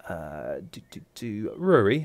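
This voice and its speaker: A man's voice: on the low side, wildly swooping pitch, measured pace, no room sound. A man narrating a tabletop game as game master, casual and hesitant with many fillers.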